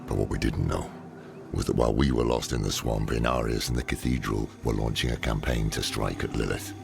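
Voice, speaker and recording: An actor playing a stern, gruff older male scholar in a game cutscene, with a gravelly voice. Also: extremely low pitch, fairly even intonation, fairly quick, noisy background, exaggerated voice. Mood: reflective. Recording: loud game score behind.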